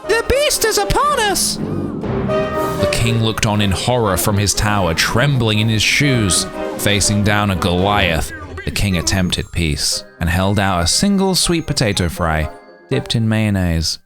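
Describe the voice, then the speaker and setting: A man's voice: on the low side, huge pitch swings, measured pace, over loud music. A man reading a scripted storybook fairy tale in a dramatic, grandiose storytelling manner.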